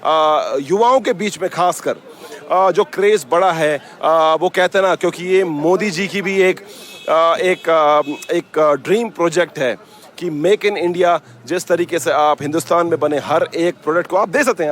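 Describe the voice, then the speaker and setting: A man's voice: a little high, moderate pace, a bit bright. A male radio host covers an event on location. He switches between Hindi and English phrases, gushing and often searching for words as he presents. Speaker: radio station host and interviewer at an expo. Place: busy expo grounds.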